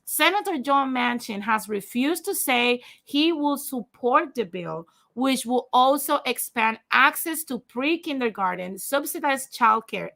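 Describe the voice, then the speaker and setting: A woman's voice: on the high side, creaky, sharp tone. A young woman whose first language is Spanish, with a light Spanish accent, hosting a livestream and giving blunt, profane opinion commentary straight to her viewers, then reading a news article aloud. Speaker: livestream host.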